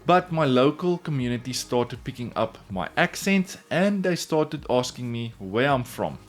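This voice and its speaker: A man's voice: medium pitch, animated, unhurried, faint music behind. A man whose first language is Afrikaans, speaking English with an Afrikaans accent, earnestly telling his own story straight to the camera in a casual vlog. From South Africa. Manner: earnest, repeats "in all honesty". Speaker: woodworking YouTuber.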